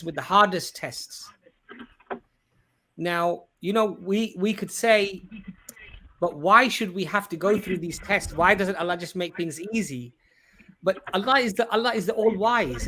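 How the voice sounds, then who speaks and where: A man's voice: sits high, pushed and bright, slight background noise. A man hosting a religious discussion, answering a caller earnestly and explaining at length, with frequent "uh" and "you know". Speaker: host and Islamic speaker.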